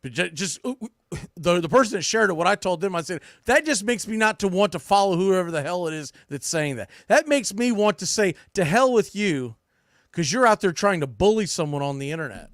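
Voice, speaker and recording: Higher-pitched man, blunt sports podcast host. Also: lively intonation, brisk, no echo, clean studio sound. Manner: blunt, ranting, mildly profane; emphatic, repeats phrases for punch. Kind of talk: opinionated sports recap monologue.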